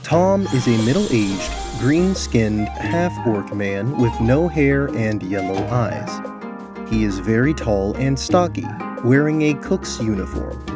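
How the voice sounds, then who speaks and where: A man narrating a scripted tabletop fantasy adventure in a deliberate, theatrical way. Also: mid-range voice, animated, over music. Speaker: narrator and game host.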